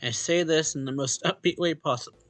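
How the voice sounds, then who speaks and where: A man's voice: fairly high pitch, brisk, fairly bright tone, faint music behind. A man hosting a let's play, reading a visual novel's narration and dialogue aloud and casually acting out the lines.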